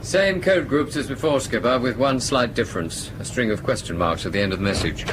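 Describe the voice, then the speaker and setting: An actor playing a matter-of-fact, precise ship's radio operator in a radio drama. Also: male voice, medium pitch, fairly quick, background noise, close to an everyday voice. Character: businesslike radio operator among a ship's crew.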